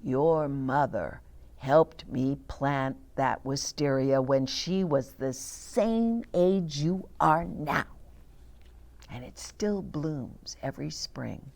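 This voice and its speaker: A woman voicing a tender, wistful grandmother from a storybook, speaking gently and reflectively to her grandson. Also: extremely low pitch, slow, vocal fry, voice pushed down, full character voice. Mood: fond.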